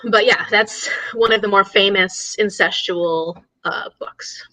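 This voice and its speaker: A woman's voice: mid-range voice, fairly even intonation, slow, bright, forceful tone, low-quality recording. A woman hosting a casual book discussion in a conversational, discursive way. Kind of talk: casual chat.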